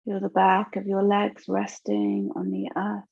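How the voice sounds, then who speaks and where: A woman teaching yoga nidra, guiding a relaxation meditation in a gentle, soothing, unhurried way with long pauses. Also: mid-range voice, big pitch swings, gravelly, slightly rough, dry recording, dull audio.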